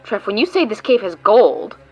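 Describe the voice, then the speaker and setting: A woman's voice: medium pitch, big pitch swings, moderate pace, a little mellow, breathy edge, lo-fi sound, faint music behind. A woman voicing a sarcastic, exasperated female guide who swears often, in a fan audio drama.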